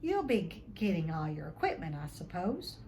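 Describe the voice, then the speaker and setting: A woman acts out a pale, nervous young professor from a storybook. He stammers on consonants and mutters his way through each phrase. There is a clear layer of noise in the recording. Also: on the low side, big pitch swings, normal speed, dark tone, pitched below the performer's own voice. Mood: nervous, stammering. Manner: heavy stutter, muttering.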